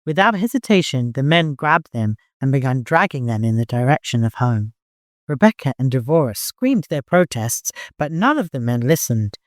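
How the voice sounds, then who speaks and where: A man narrating fiction as an audiobook, in an expressive, dramatic storytelling manner. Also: medium pitch, normal speed, no echo, clean studio sound.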